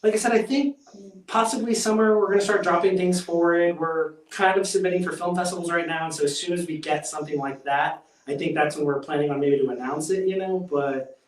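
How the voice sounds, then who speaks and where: A man, a drummer being interviewed, chatting casually in an excitable, halting, slangy, rambling way. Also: on the high side. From United States. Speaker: band drummer, interview guest. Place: echoey room. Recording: echoey room, distant from mic.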